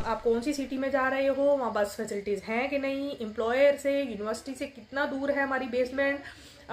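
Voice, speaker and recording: A woman's voice: fairly high pitch, poor audio. A woman in her thirties vlogging casual advice in a small room, talking through numbered points with many fillers. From India. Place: small indoor room with plain wall.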